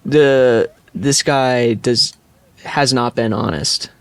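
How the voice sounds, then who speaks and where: A man co-hosting a podcast, speaking casually and haltingly as he searches for his words. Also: mid-range voice, a bit bright, clear, resonant tone, dry recording. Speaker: podcast co-host. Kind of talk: casual discussion.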